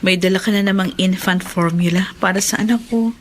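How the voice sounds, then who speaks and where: A woman's voice: medium pitch, steady tone, a little fast, soft background music, natural voice. An actress playing a proud, emotional young wife and mother in a Filipino radio drama, with a Filipino accent. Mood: grateful, tender.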